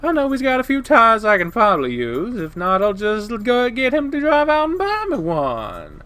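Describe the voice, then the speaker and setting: A brash old coyote woman, acted out by a man, pitched well up and loud, swinging widely as she chats. She is obnoxious, manic and over-friendly, like a game character. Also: huge pitch swings, moderate pace, exaggerated voice. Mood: chatty, friendly.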